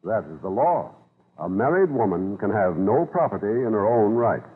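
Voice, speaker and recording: Deep-voiced, courtly old-radio judge. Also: male voice, sing-song, normal speed, breathy edge. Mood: firm, placating. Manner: formal, courtly, hesitant at awkward moments. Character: dignified, conservative older judge and father, courteous but unbending. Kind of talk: 1930s radio drama acting. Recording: muffled, scratchy 1930s radio recording.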